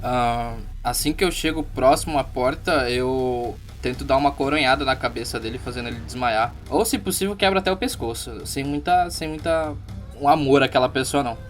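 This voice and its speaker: A man's voice: medium pitch, fairly quick. A Brazilian man casually describing his character's actions as a player in a tabletop role-playing game. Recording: music playing behind the voice. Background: music.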